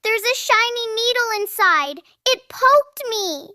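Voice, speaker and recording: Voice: very high voice, expressive, slow pace, sharp tone, heavily acted. An actor playing a young girl in a scripted audio drama, sounding small and childlike. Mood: frightened, defensive. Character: frightened young girl with a hurt finger.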